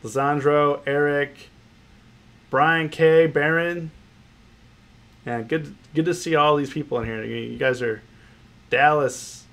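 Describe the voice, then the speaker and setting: A man's voice: fairly high pitch, steady tone, slow pace, pauses often, fairly bright tone. Nasal man with a cold. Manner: rambling, repeats phrases, greets viewers by name. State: stuffy nose from a cold. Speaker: options trading livestream host. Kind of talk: casual livestream commentary, reading chat.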